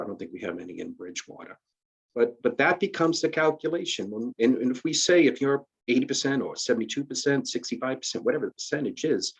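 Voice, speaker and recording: A man's voice: lowish voice, husky, low-quality recording. A man, walking through retirement rules on a video call, conversational and full of restarts. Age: 50s. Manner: conversational, many restarts and fillers, explains step by step. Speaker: retirement system administrator. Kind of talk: casual explanatory presentation. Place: virtual benefits fair call.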